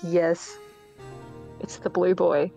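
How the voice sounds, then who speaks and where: Female streamer, unhurried delivery, mid-pitched, relaxed group chat over faint game music. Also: vocal fry. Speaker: co-voice on a live dub stream. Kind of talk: casual chat.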